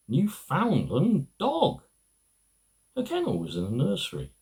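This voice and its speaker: Slow, very animated male storybook reader. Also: medium pitch. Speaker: amateur reader. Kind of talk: reading a children's storybook aloud.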